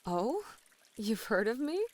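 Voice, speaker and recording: A woman's voice: fairly high pitch, very animated, slow pace, darkish tone. A woman playing a flirty, playful model and gamer in an ASMR roleplay, with a sweet-talking, teasing way of speaking. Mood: eager, pleased.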